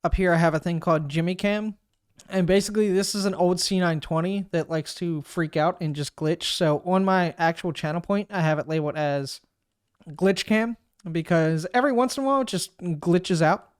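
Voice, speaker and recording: A man with a smooth, clean voice sitting a little high for a man talks through a software setup step by step. He thinks aloud as he clicks, in an easygoing tutorial manner, and the sound is close and dry with no room around it. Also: moderate pace, dry recording. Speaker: streamer giving a software tutorial. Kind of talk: casual screen-recorded walkthrough. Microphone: desk microphone.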